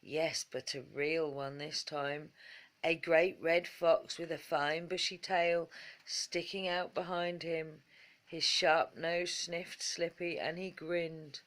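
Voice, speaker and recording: A woman narrating a children's bedtime story in a measured, expressive storytelling manner. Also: sits low.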